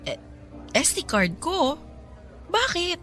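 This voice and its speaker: A woman's voice: extremely high pitch, takes it slowly, soft background music, heavily acted. A woman with a Filipino accent acting a smitten, cheeky young photography student who gets flustered in a radio drama. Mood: flustered, protesting. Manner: expressive, stammering when flustered.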